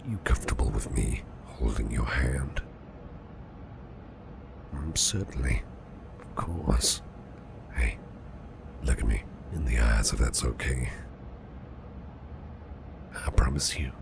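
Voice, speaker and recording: A man playing a caring, protective businessman boss in an ASMR roleplay, speaking in a soothing, reassuring, breathy half-whisper. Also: a bass voice, background noise, heavily acted. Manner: soothing, reassuring, affectionate, gently murmured. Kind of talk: soft ASMR roleplay, personal attention.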